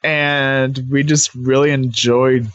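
A man of average pitch talks as a podcast guest. His delivery is casual and conversational, and the sound is very dry, with no audible room. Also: little pitch movement, takes it slowly, a bit bright, smooth, no echo, lo-fi sound.

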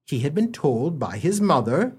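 An actor playing a droll, mock-solemn storyteller narrating a comic radio play. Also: male voice, on the high side, very animated, moderate pace, a little mellow, husky, full character voice.

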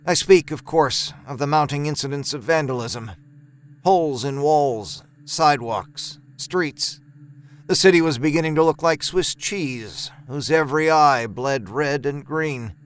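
A male storyteller reads horror fiction in the first person. His voice is somewhat high for a man and very clean and resonant. He speaks deliberately, with articulate, dramatic phrasing. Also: clear, resonant tone.

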